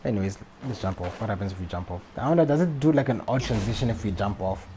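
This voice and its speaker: Guy on a game stream, lowish mellow voice, rambly casual chat, some noise behind him. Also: lively intonation, fast talker. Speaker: live streamer. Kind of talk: casual gaming livestream chat.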